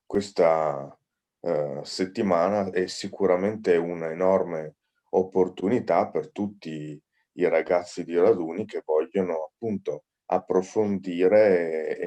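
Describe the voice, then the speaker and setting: A man's voice: sits low, dark tone. A man speaking as a guest for a radio association, hesitant and searching for words. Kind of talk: guest answering in an online presentation.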